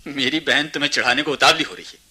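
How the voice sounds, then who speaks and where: A young suitor in a radio play. His medium-pitched voice is very bright and forceful, eager and chatty. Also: slightly rough. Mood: eager, happy. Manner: brisk, talkative, upbeat. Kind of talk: Hindi radio play acting.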